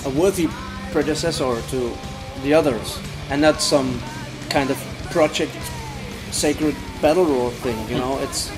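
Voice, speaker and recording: Give me a man with a German accent who speaks hesitantly, filling gaps with "uh" and restarting his sentences as he chats in a casual interview. His voice sits at a middling pitch, and clear music plays behind him throughout. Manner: halting, many fillers and restarts. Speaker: band singer.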